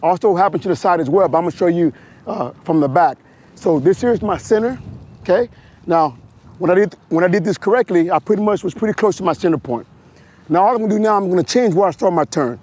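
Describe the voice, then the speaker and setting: A hoarse man with a high voice talks riders through a slow-speed drill step by step, repeating his cues. He is outdoors on a wireless mic. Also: a little mellow. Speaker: motorcycle riding instructor. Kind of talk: outdoor riding demonstration.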